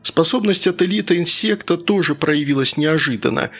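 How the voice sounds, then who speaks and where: A man's voice: on the high side, moderate pace, fairly bright tone. A man narrating a first-person story as a scripted audiobook reader. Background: faint background music.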